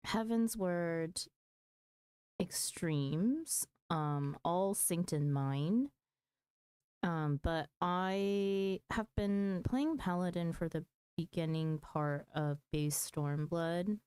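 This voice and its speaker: A woman with a low-pitched, smooth voice talks casually as she streams a game. She takes her time, thinking out loud and hesitating as she weighs options. The sound is close and dry. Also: dry recording. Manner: hesitant, thinking aloud, mulling over choices. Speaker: game livestreamer. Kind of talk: casual livestream chat about gaming.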